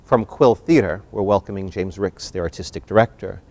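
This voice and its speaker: A man hosting a live theater discussion as moderator, speaking with theatrical, deliberate emphasis. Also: low-pitched, creaky, darkish tone. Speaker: event host and moderator.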